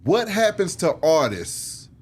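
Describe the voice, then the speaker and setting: A man's voice: fairly high pitch, very animated, slow, slightly rough. A man interviewing in a casual chat style, blunt and slangy, with short interjections.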